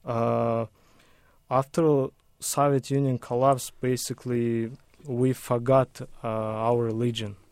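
A man's voice: medium pitch, flat delivery, slow, darkish tone, clean tone, no echo. A man from Kazakhstan, answering questions as a guest on a call-in radio show in careful, hesitant English.

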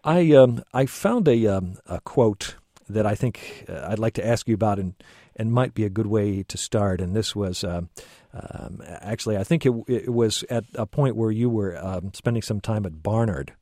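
A man hosts a radio call-in show from a studio, with a dark, mellow voice and a dry, close sound. He asks his questions hesitantly and fills them with ums and restarts. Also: on the low side, moderate pace, hardly any pauses, dry recording. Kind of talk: radio interview.

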